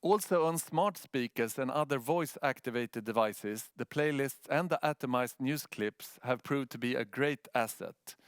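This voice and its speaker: A man's voice: medium pitch, measured pace, hardly any pauses, smooth, no room sound. A man from Sweden with a Swedish accent giving a prepared presentation as a news editor.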